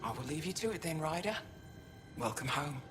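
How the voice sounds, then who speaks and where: A woman playing a dignified, practical alien town steward in a video game, speaking in a formal, earnest, measured way. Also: sits low, lively intonation, background noise. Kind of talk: video game character dialogue.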